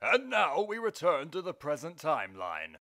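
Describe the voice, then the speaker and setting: A man's voice: on the high side, wildly swooping pitch, moderate pace, sharp tone, no echo, studio quality, exaggerated voice. A man voicing a hammy adult male TV announcer with an overblown delivery.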